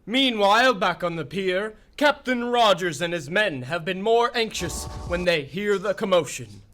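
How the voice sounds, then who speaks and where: A dramatic radio serial narrator, played by a man, with a voice set a bit high. He delivers scripted adventure narration over clear background noise. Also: expressive, measured pace, a bit bright. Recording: clearly noisy recording.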